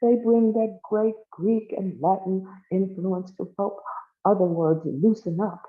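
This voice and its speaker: A woman's voice: medium pitch, measured pace, reverberant, voice pushed up, natural voice. A woman voicing a grand, indulgent male governor in a story, with a pompous, avuncular manner. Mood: grandly declaiming. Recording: thin phone-like band-limited sound.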